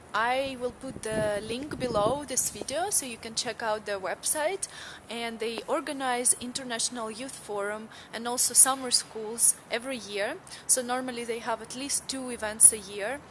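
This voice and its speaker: A bubbly young woman from Russia chats into a phone held at arm's length, her voice sitting a little high. The audio is noisy, with plenty of outdoor sound around her. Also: a bit bright. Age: young adult. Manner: bubbly, laughs often. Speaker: vlogger and journalist. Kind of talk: casual travel vlog. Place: outdoors at a city forum venue.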